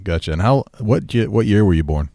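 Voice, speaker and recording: A man's voice: fairly low pitch, lively intonation, fairly quick, vocal fry, mellow, no echo, studio quality. A man hosting a podcast in a chatty, eager way, agreeing often with his guest. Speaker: podcast host. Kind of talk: casual podcast interview.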